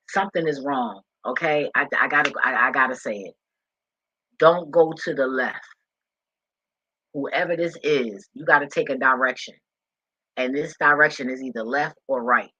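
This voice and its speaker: A man with a husky voice talking casually and off the cuff, blunt and profane with streetwise slang, like an online spiritual commentator. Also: sharp tone. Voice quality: husky, deep voice. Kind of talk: casual, unscripted rambling talk. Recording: roomy, dull lo-fi home recording.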